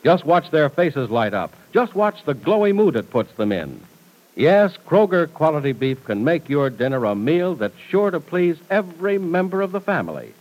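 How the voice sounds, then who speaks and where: Lively American vintage radio announcer man. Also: on the high side, moderate pace, low-quality recording. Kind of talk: 1940s radio announcing, scripted sales read.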